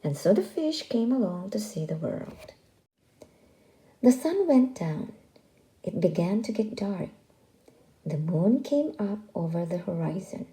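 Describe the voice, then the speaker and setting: A woman reading a children's story aloud as scripted narration, expressive and deliberate in delivery. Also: medium pitch, rough recording.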